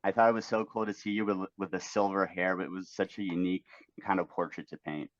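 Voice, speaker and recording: A man's voice: low voice, little pitch movement, fast, no room sound, lo-fi sound. A man, speaking as an interview guest in a friendly, easygoing way. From Mexico. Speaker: guest painter. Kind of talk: relaxed interview talk.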